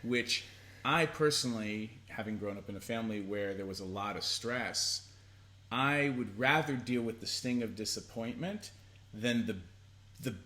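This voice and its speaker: A man's voice: on the low side, moderate pace, pushed and bright. A man co-hosting a casual advice chat, playful and jokey, thinking aloud as he talks. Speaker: co-host.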